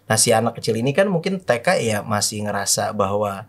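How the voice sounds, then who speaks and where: A man in his thirties, a father, chatting casually on a panel and giving relaxed advice with slangy asides. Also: fairly low pitch, fairly quick.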